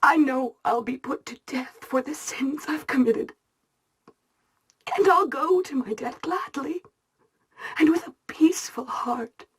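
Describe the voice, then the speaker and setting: A woman playing an anguished, penitent mother in a video game, praying in a breathy, pleading half-whisper. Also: high voice, a little slow. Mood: hushed, penitent. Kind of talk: dramatic video game monologue.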